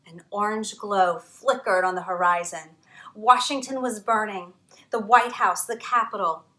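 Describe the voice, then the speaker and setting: A woman author reading her own picture book aloud at storytime, expressive, with dramatic pauses. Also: fairly high pitch, low-quality recording.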